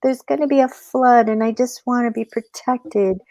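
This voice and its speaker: Woman as trickster rabbit, high voice, coaxing, falsely concerned, halting explanations, dry storybook telling. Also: fairly even intonation, darkish tone, no echo, close to an everyday voice. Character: sly trickster rabbit feigning worry and reassurance.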